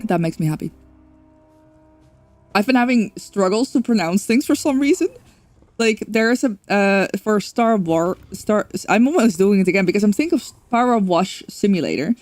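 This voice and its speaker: A woman's voice: mid-range voice, expressive, normal speed, a little mellow, no room sound, faint music behind. A woman from the Netherlands with a Dutch accent, chatting casually while streaming a game, rambling with lots of fillers. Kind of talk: casual gameplay stream chat.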